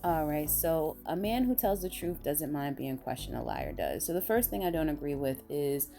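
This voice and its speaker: A woman vlogger with a raspy voice, sounding tired, talking in a rambling, blunt and emphatic way. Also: deep voice, very animated, moderate pace, mellow, soft background music. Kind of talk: casual opinion monologue.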